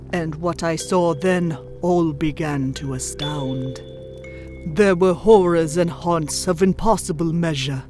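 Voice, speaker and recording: Wise female zebra forest shaman acted out for a cartoon fan drama: slow, measured rhyme, music underneath. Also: mid-range voice, lightly acted. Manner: measured rhyming verse in steady meter. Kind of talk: dramatic fan fiction audio reading.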